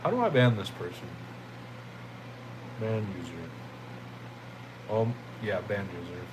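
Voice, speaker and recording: A young man with a deep, jazzy voice, chatting casually with viewers on a livestream in a loose, rambling way. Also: sing-song, measured pace, noisy background. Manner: laid-back, rambling, thanks chatters as "my friend" and "bro".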